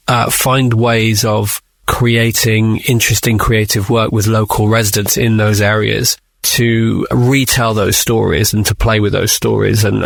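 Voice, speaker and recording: A man's voice: fairly low pitch, gravelly, dry recording, studio quality. A man who works as a writer, answering interview questions conversationally and explaining creative work.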